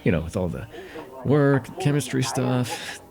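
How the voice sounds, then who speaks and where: A man's voice: medium pitch, animated, a little slow, vocal fry, music in the background. A young man playing a friendly, forgetful host in a slice-of-life ASMR roleplay, chatting casually and hesitantly with a close friend at home. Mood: casual, a bit awkward. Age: young adult. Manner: hesitant, rambling, chuckling, easygoing.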